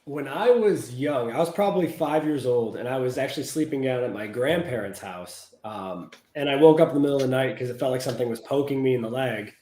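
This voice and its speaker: A man, a guest on a live interview, talking casually and telling a story in a relaxed way over a video call. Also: mid-range voice, brisk, few pauses, echoey room. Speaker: guest, paranormal investigator.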